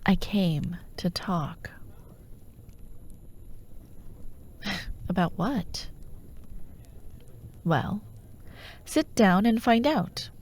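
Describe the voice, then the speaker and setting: A woman playing a sardonic female fantasy villain who haunts dreams, in a breathy, often whispered ASMR roleplay with a wry, taunting manner. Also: mid-range voice, animated, darkish tone, a little hiss or hum. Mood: coaxing. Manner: wry, taunting, theatrical, unhurried.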